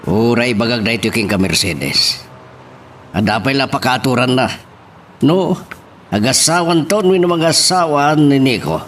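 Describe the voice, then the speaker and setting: A man's voice: medium pitch, animated, unhurried, fairly bright tone, a little hiss or hum. A man acting a stern, suspicious middle-aged father in an Ilocano audio drama. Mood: grave, concerned. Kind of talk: radio-style drama acting. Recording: music under the voice.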